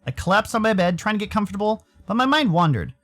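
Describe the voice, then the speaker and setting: A man's voice: sits high, expressive, fast talker, a bit bright, faint music behind. An American man voicing a curious, skeptical young furry protagonist who narrates and talks in a visual novel, with a dry questioning manner. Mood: thoughtful.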